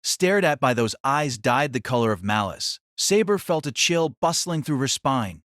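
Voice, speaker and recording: Man narrating a dark fantasy audio drama. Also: mid-range voice, big pitch swings, moderate pace, dry recording, clean studio sound.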